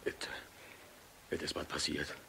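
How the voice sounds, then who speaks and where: An actor playing a shaken military subordinate in a German radio play, reporting haltingly and grimly in a very deep voice. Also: male voice, bright, forceful tone. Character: shaken military subordinate reporting bad news to his general. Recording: hissy old radio recording.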